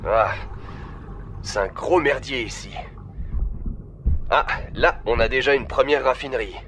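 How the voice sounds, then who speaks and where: A man acts a sardonic salvage crewman in a science-fiction audio drama. His mid-pitched voice is wry and informal, with faint noise behind it. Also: measured pace, fairly bright tone, natural voice. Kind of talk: French sci-fi audio drama acting.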